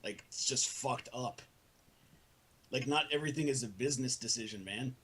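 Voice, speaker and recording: Male, husky voice, earnest and profane, for a heated informal argument. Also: mid-range voice, moderate pace, fairly bright tone.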